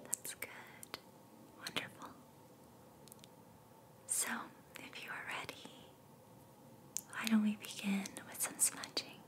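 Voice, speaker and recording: A woman doing ASMR as a reiki guide, speaking in a soft, breathy whisper with a gentle, soothing manner. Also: mid-range voice, monotone, takes it slowly, pauses often.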